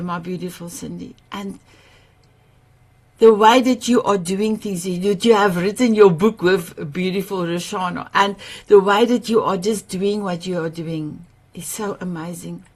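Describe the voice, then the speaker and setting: Effusive woman with an Afrikaans-tinged voice. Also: mid-range voice. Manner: effusive, searching for words, repeats phrases. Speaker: summit host. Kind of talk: warm livestream summit hosting.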